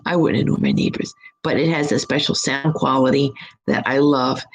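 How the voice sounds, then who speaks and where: A hoarse, husky middle-aged woman hosting a live stream as a psychic reader, chatting in a rambling, upbeat way from her home. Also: very deep voice, fairly even intonation, moderate pace. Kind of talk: casual live-chat monologue.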